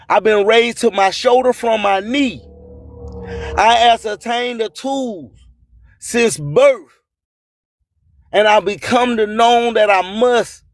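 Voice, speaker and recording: A man's voice: sits high, no room sound, studio quality. A man hosting radio with a rhyming spoken-word monologue, proclaiming emphatically and boastfully and drawing out his phrases. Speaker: radio host and music promoter.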